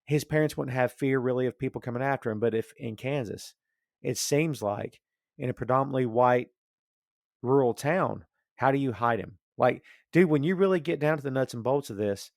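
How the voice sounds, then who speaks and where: A man talks casually as a podcast host, working through his opinions out loud in a rambling way. His voice sits at a medium pitch, and the recording is dry and close, with no room sound. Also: lively intonation, fairly quick, creaky, dry recording. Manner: rambling, thinking aloud. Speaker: podcast host discussing comics and film.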